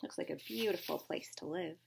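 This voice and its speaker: A woman's voice: on the low side, brisk, vocal fry, noisy background. A woman reading a picture book aloud to young children like a teacher, expressively, with simple explanations and questions along the way.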